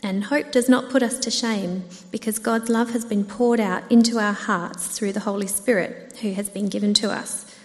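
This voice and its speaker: Female scripture reader, medium pitch, measured delivery, long church reverb. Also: steady tone. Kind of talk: reading scripture aloud. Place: church hall.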